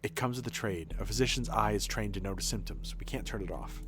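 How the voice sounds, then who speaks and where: A man's voice: lowish voice, fast, noisy background, pitched below the performer's own voice, lightly acted. A man voicing a haughty, condescending physician with a dry, formal manner, reading game dialogue. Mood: clinical. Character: haughty male physician and scientist, dry and clinical.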